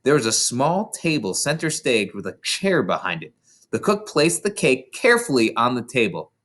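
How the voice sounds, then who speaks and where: An expressive narrator reading a children's story aloud. The delivery is theatrical and dramatic, with lively intonation that rises and falls widely. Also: medium pitch, normal speed. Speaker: storybook reader. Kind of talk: expressive children's book narration with playful asides.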